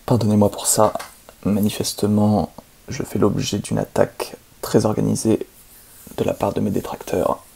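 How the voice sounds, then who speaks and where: A man playing a boastful, excuse-making video game speedrunner in an ASMR roleplay, speaking softly and half-whispered with smug, hesitant phrasing. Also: on the low side, level tone, moderate pace, exaggerated voice. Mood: wounded pride. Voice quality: soft, breathy, half-whispered, creaky. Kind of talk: ASMR roleplay, soft gaming commentary.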